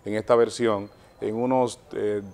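A Dominican man in his forties, a chamber of commerce representative, speaking in a measured, soft way with fillers as a TV guest on a lapel mic. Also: lowish voice, little pitch movement. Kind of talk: formal guest interview. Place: TV studio set.

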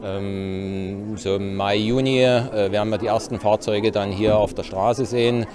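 A man's voice: on the low side, fairly even intonation, a little slow, crowd noise. A man in his forties giving unscripted interview answers as a business manager. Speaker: dealership managing director, interviewee. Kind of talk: spontaneous interview talk. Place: car showroom, indoors. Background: music.

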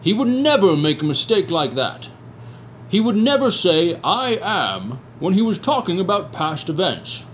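A man plays an earnest rabbi on the witness stand in a radio play. His voice sits high and swoops widely as he explains. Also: sing-song, a little slow, slight background noise.

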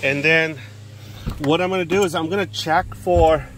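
A man giving a casual hands-on how-to, talking plainly in short, halting steps as he works. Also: a little high, fairly even intonation, fairly bright tone, slight background noise. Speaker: DIY radio hobbyist demonstrator. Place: in and beside a parked vehicle outdoors. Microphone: handheld phone, one-handed filming.

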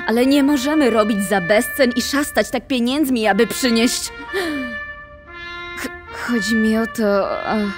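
A woman voicing an elegant, weary, motherly cat who runs a speakeasy, in a cartoon dub, measured and gently chiding. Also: fairly high pitch, over music, natural voice. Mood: weary, patient. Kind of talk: animated cartoon dub acting.

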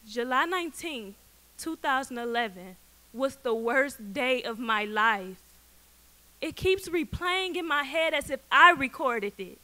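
High-pitched, bright young American woman delivering a speech. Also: expressive, rough recording. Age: late teens. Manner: emphatic, dramatic delivery with deliberate pauses. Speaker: student essay contestant. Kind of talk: prepared personal speech read aloud. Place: auditorium stage, indoors. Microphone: podium microphone.

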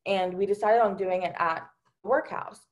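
A woman's voice: medium pitch, moderate pace, muffled recording. A young woman from Delaware giving an earnest personal account on a video call, with lots of ums and you-knows. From Delaware, USA. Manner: earnest, many fillers like um and you know. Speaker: panelist. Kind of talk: personal account on a virtual panel.

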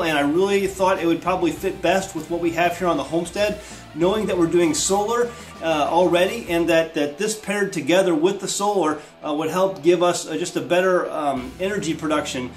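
A man with an American accent and a notably high voice talks casually to viewers as a vlog host. He sounds like he is in a roomy, echoey living space a few feet from the camera, with some music behind him. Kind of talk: casual product unboxing and explanation to viewers. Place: living room with wood floor and large windows. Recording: roomy indoor echo.

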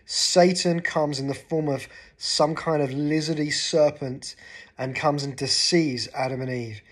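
A man in his forties with an English accent, giving an earnest short devotional talk to camera from a sofa at home, gesturing as he speaks. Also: fairly high pitch. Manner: earnest, gestures with his hands while talking. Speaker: pastor. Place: living room, seated on a sofa indoors.

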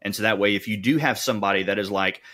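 A man's voice: fairly low pitch, quick pace, bright, forceful tone. A man co-hosting a podcast in casual, conversational discussion. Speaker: podcast co-host.

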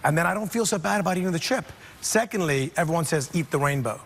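A man in his forties from Zambia, a guest on a studio talk show, speaking in a confident, relaxed way. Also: fairly high pitch, fast talker, a little hiss or hum. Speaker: investor guest. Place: TV studio set. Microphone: lapel mic.

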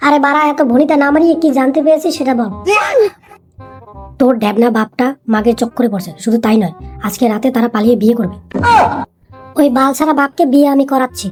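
A woman's voice: high voice, fast, long pauses, darkish tone, lightly acted. A woman voicing a brash, foul-mouthed son in a comic cartoon, blunt and scornful. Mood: indignant. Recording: music behind the voice.

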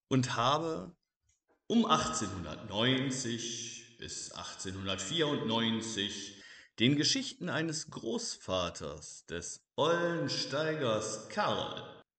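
A man narrating a biography from a script in a measured, matter-of-fact way, like an audiobook. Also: mid-range voice.

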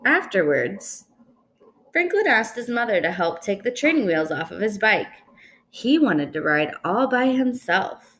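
A young woman reads a picture book aloud at an unhurried pace. Her pitch rises and falls in a lively way, with a deliberate, expressive delivery suited to children. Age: young adult. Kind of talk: storybook read-aloud. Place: indoors in a small room at home.

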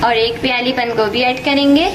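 A woman's voice: fairly high pitch, fairly even intonation, moderate pace. A woman home cook giving a step-by-step recipe voice-over. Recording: echoey room, music underneath. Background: background music.

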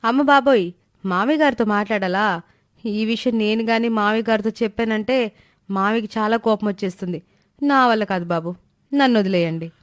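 A woman acts out a timid young wife in a storybook tale. She speaks at a medium pitch, dutiful and anxious, with a coaxing, respectful manner. The recording is dry and close. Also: a little fast, pauses often, dry recording, lightly acted. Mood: fearful. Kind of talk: animated moral story dialogue.

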